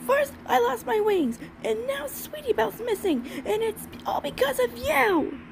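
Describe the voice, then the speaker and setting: A bossy young cartoon filly with a hot temper , acted by a woman, speaking extremely high and forcefully. Her delivery is exclamatory yet unhurried in pace, over clear background noise. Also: animated, heavily acted. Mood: furious. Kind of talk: fan comic dub acting.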